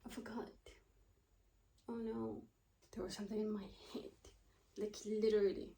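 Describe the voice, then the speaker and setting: A woman's voice: mid-range voice, level tone, normal speed, mellow. A woman in her twenties, a student vlogger, rambling casually and thinking aloud, often losing her train of thought. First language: not Russian. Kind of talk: casual chat.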